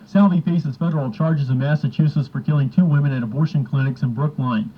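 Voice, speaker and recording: A man's voice: on the high side, a little fast, a little hiss or hum. A man working as a radio reporter, reading scripted news and sports in an old lo-fi radio recording. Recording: dull lo-fi old radio aircheck.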